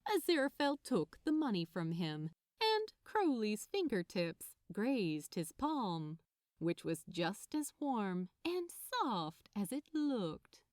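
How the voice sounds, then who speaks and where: A woman reading fiction aloud as narrator in an expressive storytelling style. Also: medium pitch, slow, dry recording, studio quality.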